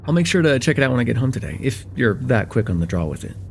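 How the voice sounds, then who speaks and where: A man playing a friendly young college guy, lively pitch swings. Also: quick pace, gravelly, slight background noise. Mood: curious, playful. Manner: casual, hesitant, teasing, with soft chuckles and hums. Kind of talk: slice-of-life ASMR roleplay, one-sided conversation with the listener.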